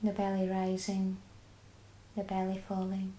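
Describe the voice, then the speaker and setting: Scottish lady leading a body scan, mellow voice, really unhurried. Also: mid-range voice, completely flat delivery, echoey room, a little hiss or hum, poor audio. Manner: slow, soft and soothing. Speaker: mindfulness guide. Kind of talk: guided body scan meditation.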